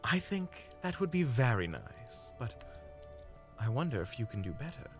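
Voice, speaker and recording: A man playing a courteous, smooth-talking monster hunter in a fantasy audio drama, with formal, flowery politeness. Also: mid-range voice, very animated, normal speed, faint music behind, close to an everyday voice. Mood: courteous, persuasive. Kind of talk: fantasy audio roleplay acting.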